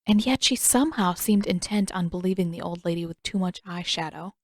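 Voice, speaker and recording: A woman's voice: on the low side, a little fast, darkish tone, no echo. A woman reading a scripted story expressively, with wry, emphatic phrasing. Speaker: narrator, fan fiction reader.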